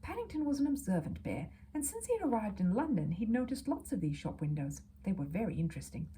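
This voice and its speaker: Animated, mellow woman reading a children's story. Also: medium pitch, fast talker, a little hiss or hum. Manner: animated, dramatic, with hushed asides. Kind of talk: expressive children's audiobook narration.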